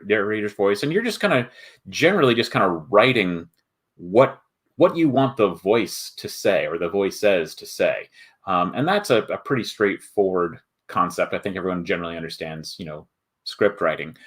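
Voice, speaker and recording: Low-voiced man, halting explainer. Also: animated, a bit bright. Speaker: host, board game video maker. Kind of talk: casual livestream walkthrough.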